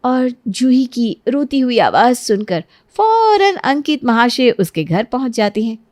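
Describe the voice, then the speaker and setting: A woman's voice: a little high, sing-song, unhurried, a little mellow, no room sound. Woman narrator, dry studio sound. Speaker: story narrator. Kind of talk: scripted cartoon story narration.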